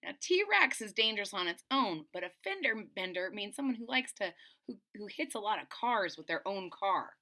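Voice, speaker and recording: A woman's voice: mid-range voice, sing-song, moderate pace, sharp tone. A middle-aged woman reading a picture book aloud to children, expressively, with explanatory asides and dramatic emphasis. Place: small indoor room at home.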